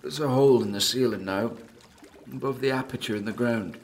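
An actor playing a nervous, driven paranormal investigator who records himself alone in an audio drama, talking haltingly to his listeners in an apprehensive, gravelly voice. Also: mid-range voice. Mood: alarmed, disgusted.

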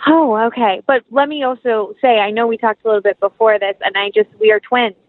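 A woman's voice: a little high, lively intonation, fairly quick, no room sound. Young American woman, muffled dry audio. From North Carolina, United States. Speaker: actress, interview guest. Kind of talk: casual conversational interview.

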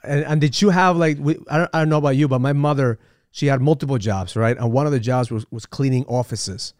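A man from Ecuador hosting a podcast interview, animated and often repeating words as he starts sentences. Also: mid-range voice, brisk, no echo, clean studio sound. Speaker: podcast host. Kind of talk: casual interview.

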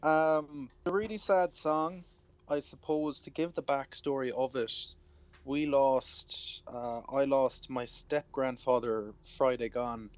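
A man's voice: medium pitch, slow, dry recording. Muffled Irish man on a phone line. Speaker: musician guest interviewed by phone. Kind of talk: casual radio phone interview. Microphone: telephone.

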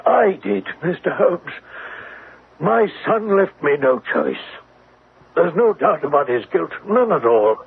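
An actor playing a distraught, remorseful elderly English banker in a radio drama, with an agitated, halting manner. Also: male voice, on the high side, very animated, creaky, breathy edge, full character voice. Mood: anguished. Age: older. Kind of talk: classic radio drama acting. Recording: tinny, band-limited old radio, faint hiss.

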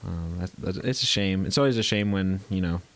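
A man's voice: low-pitched, a little fast. Stuffy-voiced young man with a cold. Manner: rambling, many fillers and restarts. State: congested with a cold, stuffy and scratchy. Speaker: podcast co-host. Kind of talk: casual movie chat.

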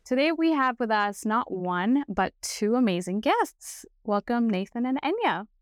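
A woman's voice: on the high side, big pitch swings, a little slow, dry recording. A woman hosting a podcast in an upbeat, enthusiastic and effusive way. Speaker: podcast host. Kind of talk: upbeat podcast interviewing with a scripted intro.

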